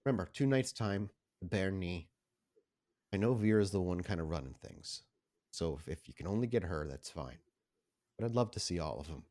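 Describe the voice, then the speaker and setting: A man's voice: deep voice, animated, a little mellow. Man playing a courtly, scheming old vampire prince. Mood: calm, insistent. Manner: polite, measured, probing.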